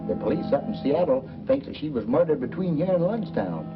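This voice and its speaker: An actor with an American accent playing a genial, rambling, beer-loving small-town uncle in a 1940s film, folksy and chatty. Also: male voice, on the high side, sing-song, a little fast. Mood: gossipy, puzzled. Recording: dull old film soundtrack, music behind.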